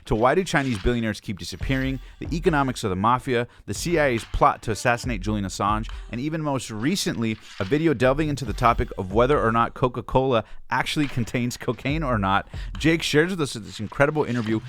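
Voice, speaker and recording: A man's voice: medium pitch, animated, fast, hardly any pauses, a bit bright, slight background noise. A man hosting an interview show, hyping up his guest in the intro and then chatting casually, laughing along. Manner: upbeat, laughs often, hype-style intro. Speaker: podcast host.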